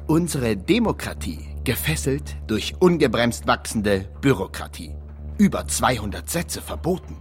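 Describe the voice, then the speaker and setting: An actor playing a zealous campaign strategist in a satirical radio drama, declaiming in slogans as if giving a presentation. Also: male voice, medium pitch, very animated, measured pace, soft background music. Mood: alarmist.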